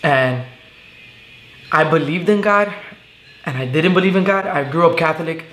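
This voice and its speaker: A young man from Venezuela, a guest telling his story in a casual interview, earnest and halting with many fillers, sitting on a living room couch. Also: fairly high pitch, a bit bright. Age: young adult. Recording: echoey room with faint hiss.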